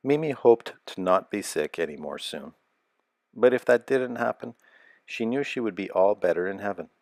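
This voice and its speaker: A man with a deep, slightly gravelly voice reads a scripted children's story. His delivery is measured and expressive, and the sound is close and dry, with very little room around it. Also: dry recording. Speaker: storybook narrator.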